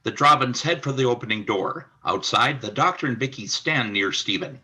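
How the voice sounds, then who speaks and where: A man's voice: medium pitch, lively intonation, moderate pace, bright, forceful tone. A man reading scripted narration for a radio play as its narrator.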